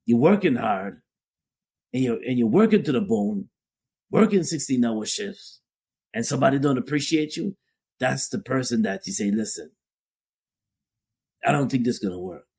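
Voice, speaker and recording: A man's voice: mid-range voice, lively intonation, moderate pace, long pauses, a little mellow, slightly rough. A man co-hosting a podcast, talking casually about relationships and repeating phrases for emphasis. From Guyana (family). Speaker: podcast co-host. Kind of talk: casual opinion talk.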